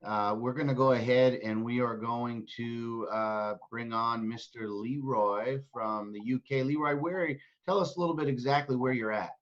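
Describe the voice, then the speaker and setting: A man's voice: mid-range voice, fairly even intonation, normal speed, hardly any pauses. Man hosting a call, muffled audio. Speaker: host. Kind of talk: introducing guests on a call.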